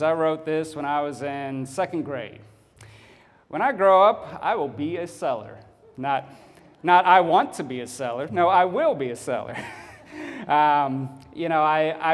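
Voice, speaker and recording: A man with a Texan accent tells his life story to a club audience, pausing often with ums and uhs. His voice sits a little high and sounds smooth and clear. The sound carries a long hall reverb. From Texas. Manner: halting, many ums and uhs. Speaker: guest speaker. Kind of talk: personal testimony speech.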